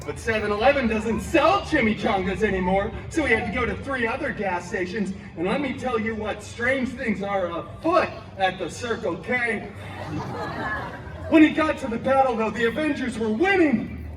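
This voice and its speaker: An actor playing a wisecracking, brash costumed superhero, telling a comic story live to a crowd with sarcastic asides. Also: male voice, extremely high pitch, expressive, moderate pace, far from the mic, noisy background. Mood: playful storytelling. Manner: sarcastic asides, exaggerated delivery. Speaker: theme park character performer telling stories to guests. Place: outdoor theme park show area. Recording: echoey PA outdoors, music and crowd.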